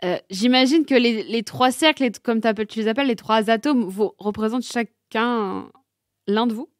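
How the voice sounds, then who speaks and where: A woman radio host asks a musician casual, chatty questions. Her voice sits at an ordinary pitch, and the studio around her is dry and close. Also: normal speed, dry recording. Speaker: radio interviewer.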